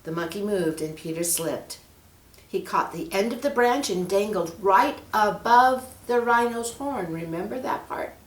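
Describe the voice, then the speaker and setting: A woman reading a children's story aloud in an expressive, animated storytelling style, addressing young listeners directly. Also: mid-range voice, unhurried. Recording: roomy, echoing room.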